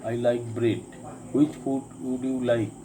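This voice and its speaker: A man teaching a spoken lesson, reading each drill sentence twice with deliberate care. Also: medium pitch, steady tone, background noise. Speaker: English teacher. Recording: crickets and insects chirping behind.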